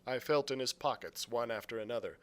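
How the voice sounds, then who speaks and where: A man narrating a storybook aloud as a librarian would, with deliberate, dramatic phrasing. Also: medium pitch, fairly bright tone. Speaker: youth services librarian reading aloud.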